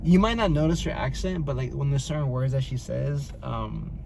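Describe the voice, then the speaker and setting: A man chatting casually as co-host, relaxed and slangy. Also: medium pitch, moderate pace, creaky. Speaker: co-host answering Q&A. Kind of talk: casual couple chat. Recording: noisy background hum.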